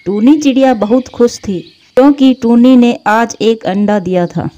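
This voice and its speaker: A woman's voice: on the high side, a little slow, warm tone, dull audio. A woman reading scripted narration for a Hindi cartoon story.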